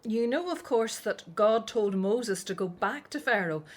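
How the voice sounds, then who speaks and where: A woman's voice: a little high, animated, a little slow. A woman playing a dutiful, outspoken grown daughter in an audio drama set in ancient Egypt. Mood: chatty, informative.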